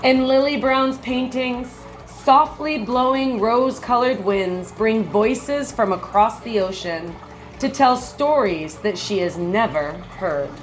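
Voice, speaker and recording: A woman reading a children's picture book aloud like a teacher, with measured, expressive phrasing and brief comments. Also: on the high side, faint music behind, rough recording.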